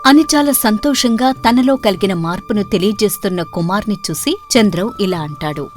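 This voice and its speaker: A woman reading a scripted moral story as narrator, in an expressive storytelling delivery. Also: mid-range voice, normal speed. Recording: music behind the voice. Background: background music.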